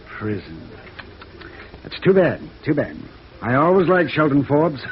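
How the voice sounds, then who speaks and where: Flustered mild office boss, old radio drama, voice goes up and down, muffled with some hiss. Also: male voice, fairly high pitch, very animated, a little slow. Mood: troubled, regretful. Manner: hesitant, repeats himself, flustered. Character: hesitant, troubled company accounting chief, mild and baffled. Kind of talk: 1950s radio drama acting. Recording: muffled, noisy vintage radio recording.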